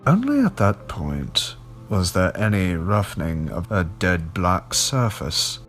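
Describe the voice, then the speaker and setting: Slow, low-voiced man narrating over music. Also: very animated, fairly bright tone. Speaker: narrator of a horror story podcast. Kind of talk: scripted audiobook-style story narration.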